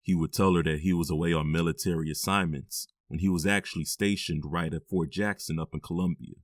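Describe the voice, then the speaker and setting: A man acting out a deep-voiced middle-aged father in an audio drama, firm and weary, given to heavy sighs. His low voice sits close and dry with no room around it. He scolds and comforts in the same steady way. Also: a little fast, dry recording, close to an everyday voice. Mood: confiding. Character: stern but loving middle-aged stepfather.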